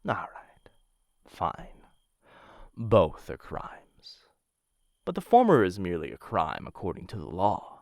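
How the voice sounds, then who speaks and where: A man playing a smitten, dangerous assassin of a noble house in an intimate boyfriend roleplay, teasing and coaxing with theatrical pauses. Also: low-pitched, gravelly, dry recording, studio quality, full character voice.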